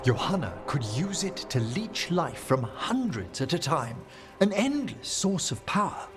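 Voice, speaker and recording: An actor playing a refined, scholarly older necromancer in a video game, eloquent and formal, courteous but anxious. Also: male voice, on the high side, big pitch swings, a little slow. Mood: courteous, pleased. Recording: music behind the voice.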